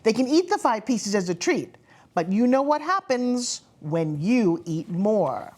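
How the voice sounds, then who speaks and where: A woman voicing a kindly magical letter writer who grants second chances in gentle, solemn storybook rhyme. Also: on the high side, big pitch swings, unhurried. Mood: kindly, instructing.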